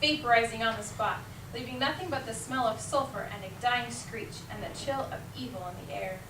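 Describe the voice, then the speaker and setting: A woman's voice: fairly high pitch, a little fast. A woman reading scripted narration for amateur reader's theater. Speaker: teacher, narrator. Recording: echoey room, distant mic, faint noise.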